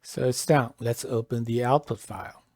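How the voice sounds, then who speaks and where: A man presenting a software tutorial step by step, halting and deliberate, often pausing mid-phrase. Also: medium pitch, fairly even intonation, no echo. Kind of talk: step-by-step tutorial narration, lightly scripted.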